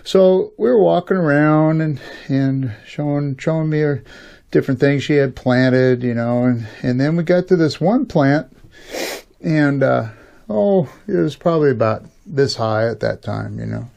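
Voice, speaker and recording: Male, middle-aged, American, gravelly and creaky, slightly high-pitched; casual folksy storyteller with an informal, halting delivery. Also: mellow. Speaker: YouTube storyteller and channel host. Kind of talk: casual rambling personal storytelling.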